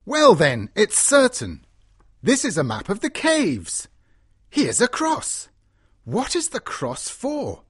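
An excitable adventurer lord, played by a male narrator, lifts his pitch and swings it widely as he declares things. The delivery is slow and emphatic, in storybook style. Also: husky, no echo, studio quality, exaggerated voice. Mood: excited, reasoning. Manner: emphatic, exclamatory. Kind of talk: audiobook character voice.

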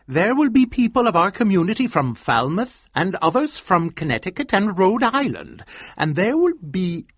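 An eager old church deacon chatters in a raised, lively voice, as a man puts him on. He sounds dry and close to the mic. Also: high-pitched, normal speed, no echo, voice pushed up, full character voice.

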